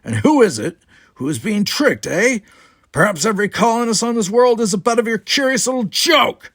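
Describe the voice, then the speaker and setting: A man's voice: high-pitched, expressive, normal speed, a bit bright, dry recording, clean studio sound, pitched above the performer's own voice. A man voicing a combative, mocking male alien cadet in an audiobook, taunting and sarcastic. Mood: angry, crowing. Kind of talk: audiobook character voice.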